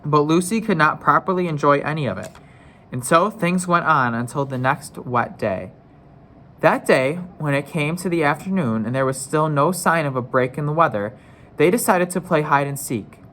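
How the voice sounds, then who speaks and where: A man's voice: a little high, normal speed, sharp tone, slight background noise. Man reading a storybook aloud. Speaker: story reader. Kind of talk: read-aloud storybook narration.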